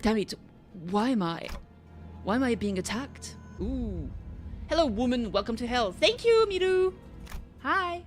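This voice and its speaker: A woman's voice: on the high side, big pitch swings, a little slow, faint music behind. A young woman streaming a game, reading the subtitles aloud with playful chatter. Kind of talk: casual gameplay commentary.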